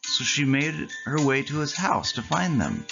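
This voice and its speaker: A storyteller reading a scripted children's story aloud in measured phrases with deliberate pauses. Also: medium pitch, very animated, lo-fi sound. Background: clear background music.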